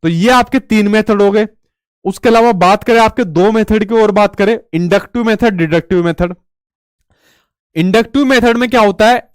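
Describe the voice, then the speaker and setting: A man's voice: sits high, dry recording, clean studio sound. A male teacher giving an exam-prep lecture in a chatty way, asking rhetorical questions and telling stories.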